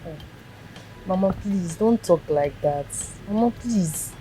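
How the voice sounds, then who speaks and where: An actress with a Nigerian accent playing a devoted teenage granddaughter who speaks earnestly and affectionately in a drama skit. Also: female voice, lowish voice, lively intonation, takes it slowly, mellow, slight background noise, rough recording. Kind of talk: Nollywood-style drama skit.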